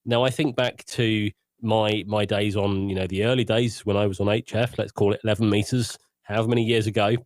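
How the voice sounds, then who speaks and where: Bloke chatting on a podcast, kinda low voice, frank and self-deprecating, no room sound. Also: male voice, fairly even intonation, fairly quick, few pauses, dry recording. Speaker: podcast panelist. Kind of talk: casual chat, personal anecdote.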